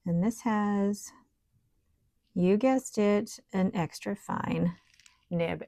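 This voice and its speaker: A woman with a low, gravelly and scratchy voice talks through her desk work in a relaxed, chatty way, thinking aloud as she goes. The sound is dry and close, with no room around it. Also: no echo. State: scratchy, hoarse voice. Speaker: stationery vlogger. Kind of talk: casual show-and-tell while writing and painting.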